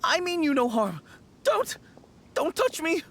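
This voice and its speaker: A man's voice: very high voice, very animated, unhurried, heavy background noise, heavily acted. A man voicing a timid, jumpy young undertaker who pleads in a panicky voice. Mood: pleading. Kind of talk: video game voice acting. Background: game music.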